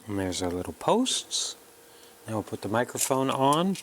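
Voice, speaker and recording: A man's voice: medium pitch, very animated, normal speed, no room sound. A man narrating a casual hands-on electronics tutorial, plainly explaining each step as he works. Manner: plain, explanatory, talking through each step while working. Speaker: host of an electronics kit build tutorial.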